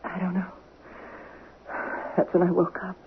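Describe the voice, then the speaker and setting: An actress playing a fragile, frightened wife in a 1970s radio drama, with halting, breathless, dramatic delivery on a muffled old recording. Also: female voice, fairly low pitch, completely flat delivery, noisy background. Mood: dazed. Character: frightened wife recounting a nightmare, soft and fragile.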